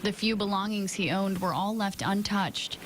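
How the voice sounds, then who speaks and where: A woman's voice: medium pitch, moderate pace, faint music behind. A woman with an American accent delivering scripted broadcast news narration as a television reporter.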